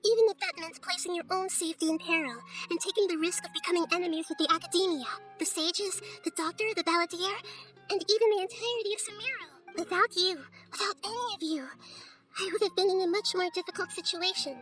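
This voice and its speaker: A woman voicing a gentle, wise childlike girl deity with measured, formal, earnest speech in a game cutscene. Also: very high voice, soft background music. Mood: sincere, grateful. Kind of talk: scripted game cutscene acting.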